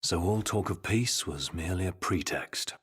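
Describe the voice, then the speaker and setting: An actor playing a composed, formal man, a husky-voiced royal retainer in a video game cutscene. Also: deep voice, a bit bright, heavily acted. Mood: serious. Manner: formal, measured wording.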